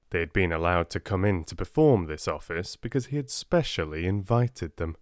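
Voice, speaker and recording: A soft-spoken man with a British accent narrating a storybook in a gentle, expressive way. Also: fairly low pitch, no echo, studio quality. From Britain. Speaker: audiobook narrator.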